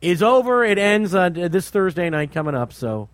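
High-pitched male talk show host. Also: sing-song, normal speed, no room sound. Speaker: radio show host and comedy night host. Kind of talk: casual opinionated chat.

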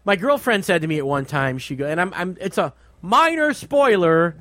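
A man's voice: sits high, very animated, normal speed, fairly bright tone, no echo. A man co-hosting a casual movie discussion, agreeable and conversational, often chiming in. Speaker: podcast co-host.

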